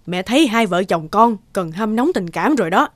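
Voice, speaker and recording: A woman voicing a firm, nagging elderly mother in an animated moral tale. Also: mid-range voice, normal speed, lightly acted. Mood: insistent.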